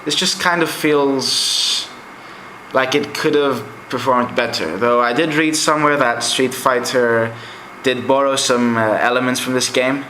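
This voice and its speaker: Young adult man, native Greek speaker, reviews games in a chatty ramble; bright voice, roomy echo. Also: medium pitch, fairly even intonation, a little slow, slight background noise. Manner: rambling and chatty, with many fillers. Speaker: game collector vlogger. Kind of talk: casual game collection review. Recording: echoey room.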